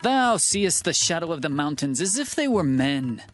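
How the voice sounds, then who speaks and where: An actor playing a scornful biblical city official in a dramatized scripture reading, taunting and declamatory. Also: male voice, on the high side, big pitch swings, moderate pace, soft background music. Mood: dismissive.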